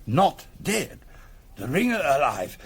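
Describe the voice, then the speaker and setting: An actor playing a shifty petty crook turned valet in a radio drama, with a Cockney accent and a cheeky, evasive, mock-polite manner. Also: male voice, fairly high pitch, very animated, slow pace, breathy edge, heavily acted. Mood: nervous, evasive. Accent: London Cockney. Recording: faint background noise and ambience.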